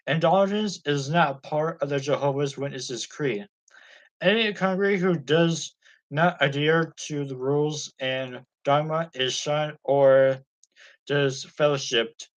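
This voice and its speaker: A man's voice: fairly high pitch, slow, poor audio. Man reading a biography aloud. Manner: plain reading with occasional slips. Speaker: audiobook reader.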